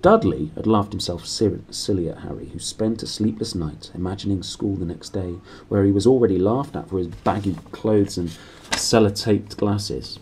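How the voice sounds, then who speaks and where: A man's voice: fairly low pitch, normal speed, a little mellow, slight background noise, rough recording. A man in his thirties with a British accent, reading a storybook aloud in a small room. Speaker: reader.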